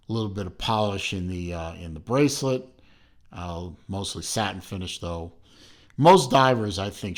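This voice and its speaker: Man, low voice, casual watch review, rambling with fillers, talks from behind the camera. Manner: rambling, frequent fillers and restarts, relaxed. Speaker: watch reviewer, channel host. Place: home, filming mostly off camera.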